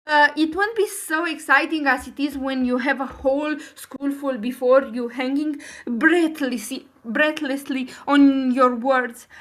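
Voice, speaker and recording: A woman's voice: high-pitched, a bit bright, pitched above the performer's own voice. A woman voicing an earnest, dreamy, chattering schoolgirl in a storybook reading, effusive and wordy.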